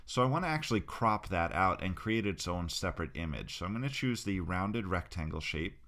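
Deep-voiced man walking through a tutorial. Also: a little fast. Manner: relaxed step-by-step narration while clicking. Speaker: software tutorial creator. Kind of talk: screen-recorded software tutorial.

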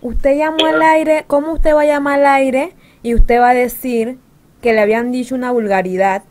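A woman's voice: sits high, steady tone, moderate pace, heavy background noise. A Panamanian woman arguing on a prank phone call, indignant, complaining and insistent. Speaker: radio show prank caller. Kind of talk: phone prank, argumentative chat.

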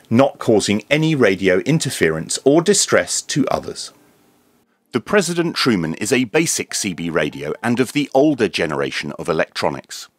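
A man presenting a scripted radio review in a deadpan, tongue-in-cheek manner. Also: medium pitch, dry recording. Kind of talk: scripted product review narration with dry jokes.

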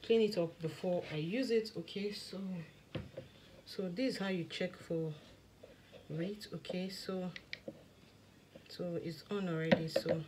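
Soft-spoken woman demonstrating at a worktable. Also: steady tone, unhurried, long pauses. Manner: soft, lowered late-night voice, many "okay, so" fillers. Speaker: skincare tutorial host. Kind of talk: casual step-by-step demonstration. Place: indoor work table. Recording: clear background noise with clicks.

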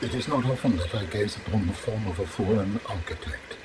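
An actor playing a detached, formal alien intelligence in a video game, speaking in a measured, emotionless manner. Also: male voice, lowish voice, noisy background, close to an everyday voice. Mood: solemn. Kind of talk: video game character dialogue. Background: game music.

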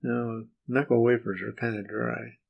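A man's voice: medium pitch, level tone, a little slow, creaky, phone-quality sound. An older man, a podcast guest, answering questions in casual conversation. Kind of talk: casual chat.

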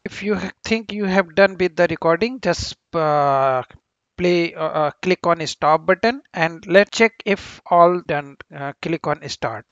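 Halting man narrating, higher voice, dull sound. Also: a bit bright, no echo. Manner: halting, with very frequent hesitations and repeated words. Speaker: tutorial host. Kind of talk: informal screen-recorded software tutorial narration.

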